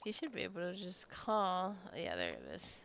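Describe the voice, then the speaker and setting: Gravelly-voiced woman rambling over gameplay. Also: on the low side, wildly swooping pitch, moderate pace, a bit bright. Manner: rambling, thinking aloud. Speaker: gaming video creator. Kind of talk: casual unscripted gameplay commentary. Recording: clicking and faint background noise.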